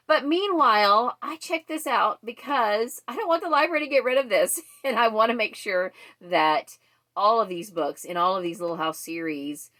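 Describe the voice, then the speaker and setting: A woman's voice: fairly low pitch, fairly bright tone. A woman hosting a casual book vlog, chatting to viewers in a rambling way that wanders off on tangents.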